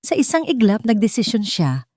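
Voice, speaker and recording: A woman narrating a scripted audio drama in a measured, dramatic storytelling manner. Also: on the high side, very animated, a little mellow, husky, dry recording, studio quality.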